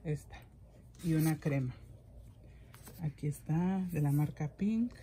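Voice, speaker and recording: A woman's voice: sits low, takes it slowly, warm tone, a little hiss or hum. A middle-aged woman chatting casually for a vlog, rambling and repeating her words. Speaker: vlogger. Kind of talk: casual gift-haul vlog chat. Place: indoors in a home room.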